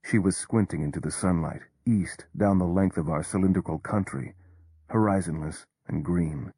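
Deep husky male storyteller. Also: normal speed, dark tone, no echo. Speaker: narrator. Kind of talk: first-person audiobook narration.

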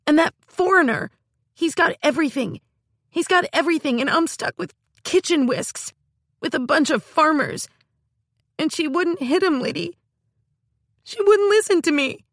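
Woman voicing a shrill, sobbing, furious young woman. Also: moderate pace, long pauses, no room sound, studio quality. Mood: furious wailing. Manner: shrill, wailing, tearful. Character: fierce, proud young woman sobbing in a rage. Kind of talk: audiobook character voice.

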